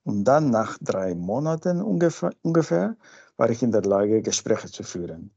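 A man from Peru, a native Spanish speaker with a Peruvian Spanish accent, answering interview questions informally and haltingly, searching for words. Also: fairly low pitch, big pitch swings, gravelly, dark tone, breathy edge. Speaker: interview guest. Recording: dull lo-fi sound.

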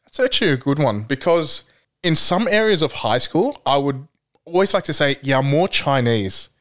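A man's voice: a little high, moderate pace. Young Australian man chatting casually. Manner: relaxed storytelling with many fillers. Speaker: podcast guest. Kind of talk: casual podcast chat.

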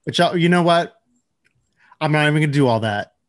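A man's voice: fairly high pitch, expressive, brisk. A man hosting a podcast in casual banter, with a playful, teasing manner. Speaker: podcast host.

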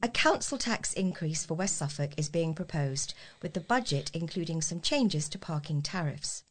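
A woman reads local news from a script. Her voice sits fairly low, and she delivers each item as a volunteer newsreader would. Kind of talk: scripted local news reading for a talking newspaper.

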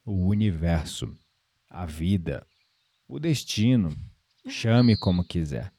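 A calm, reflective Brazilian man of the countryside in an audio series, acted by a man. His voice is low and very mellow, with a gently teasing, spiritual manner. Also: slow, exaggerated voice. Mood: earnest, philosophical. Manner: gently playful, reflective, philosophical. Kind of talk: audio drama acting.

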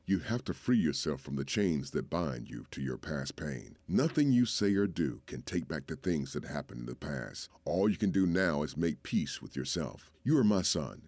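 A man voicing an elderly father, gentle and proud of his son, who pleads tenderly through tears. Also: very deep voice, sing-song, normal speed, no room sound, heavily acted. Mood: tearful, tender.

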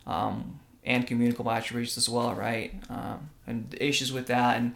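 A man's voice: medium pitch, little pitch movement, moderate pace, creaky, a bit bright. Young male podcast co-host, earnest, keeps asking "right?", born in Russia. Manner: earnest, tag questions like "right?". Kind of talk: casual religious podcast talk.

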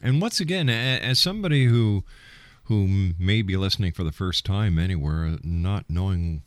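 A man with a deep voice hosts an interview. His intonation moves up and down freely, and he builds his questions in halting, deliberate pieces. The sound is dry and close. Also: expressive, dry recording. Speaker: radio interview host.

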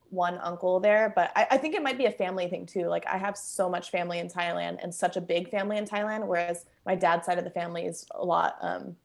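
A young woman born in Bangkok and raised in Los Angeles, chatting casually as an interview guest at home, full of fillers and easy laughter. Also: mid-range voice. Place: at home, kitchen nearby.

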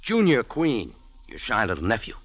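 An actor playing a tough, wry adventurer and café owner, narrating in punchy hardboiled style for 1940s radio. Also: male voice, lowish voice, wildly swooping pitch, moderate pace, fairly bright tone, no echo, exaggerated voice. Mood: cool, wry. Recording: 1940s radio recording with prominent music.

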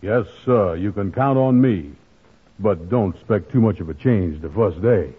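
A man's voice: fairly low pitch, lively intonation, measured pace. An actor playing a grumpy, gullible, self-pitying man with folksy ungrammatical, hesitant talk in 1940s radio comedy style. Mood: curious, eager. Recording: tinny old radio broadcast.

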